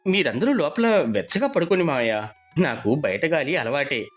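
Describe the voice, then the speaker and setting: A man's voice: fairly high pitch, big pitch swings, moderate pace, a bit bright, faint music behind. A man playing a poor, good-humoured village father in a storybook, folksy, wry and earnest. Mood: reassuring. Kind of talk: acted moral storybook dialogue.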